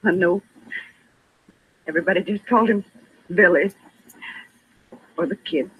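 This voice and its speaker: A woman's voice: on the low side, a little slow, slightly rough. A woman playing a weary, plaintive mother of a wayward boy in a 1950s western radio drama. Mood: weary, sorrowful. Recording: tinny, narrow-band old radio recording.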